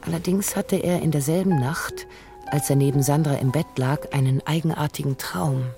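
A narrator in a radio drama, reading literary prose in a formal, measured, analytical way. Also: mid-range voice, natural voice. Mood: detached, analytical. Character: detached, analytical narrator of a relationship's decline. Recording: faint music behind.

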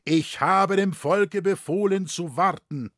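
A man's voice: mid-range voice, very animated, a little slow, breathy edge, no room sound, heavily acted. A man voicing an imperious, indignant cathedral master in a story, with grave, archaic formal speech. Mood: commanding. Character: commanding, indignant male cathedral master and people's leader.

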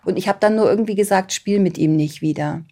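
A woman's voice: medium pitch, fast, warm tone. A middle-aged or older woman who sounds like a primary school teacher, casually recalling memories. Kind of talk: casual recollection.

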